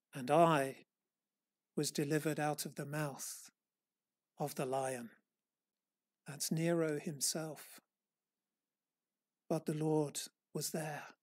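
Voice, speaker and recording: A middle-aged man, a church elder, preaching a sermon from a lectern in a small church hall, earnest and measured, rising and falling in force. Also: fairly high pitch, darkish tone. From England. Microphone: far camera, standing at a lectern.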